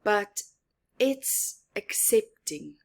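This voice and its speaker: A woman's voice: mid-range voice, animated, extremely slow, creaky, a little mellow. A woman reading a story aloud to young children, expressively, with simple explanatory asides. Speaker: children's story-time reader.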